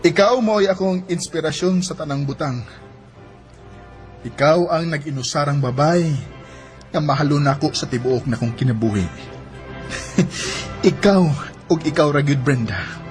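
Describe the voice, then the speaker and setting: A man's voice: on the high side, unhurried, long pauses, soft background music, lightly acted. A man with a Cebuano accent playing a loving, sentimental young husband in a radio drama. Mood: adoring, emotional. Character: loving, earnest young husband, devoted to his wife. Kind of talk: Philippine radio drama acting.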